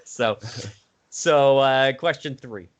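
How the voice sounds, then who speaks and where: A man with a medium-pitched voice hosting a gaming interview. He speaks informally and conversationally as he puts questions to his guest over an online call. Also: slow pace, clean tone, dull audio. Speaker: interviewer, host.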